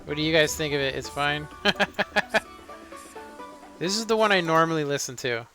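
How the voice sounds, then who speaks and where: A man in his thirties, a live streamer chatting casually and rambling with lots of fillers in a monotone way. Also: fairly high pitch, moderate pace. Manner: relaxed, rambling, many fillers. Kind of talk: casual live-stream chat while playing. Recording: faint background music.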